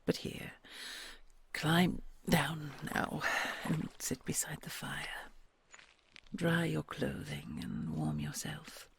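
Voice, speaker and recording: A woman voicing a kind, gentle female red dragon queen in an ASMR audio roleplay, talking in a soft, soothing, mostly whispered way. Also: low voice, steady tone, slow, creaky, heavily acted. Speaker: voice actor.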